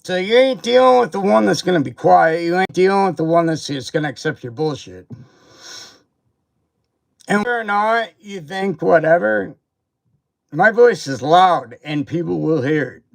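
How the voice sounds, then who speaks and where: A man's voice: fairly high pitch, vocal fry. A man hosting a livestream who rants at a seller with profane, confrontational, repetitive jabs. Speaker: toy reviewer and livestream host. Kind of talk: angry livestream rant. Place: home livestream setup.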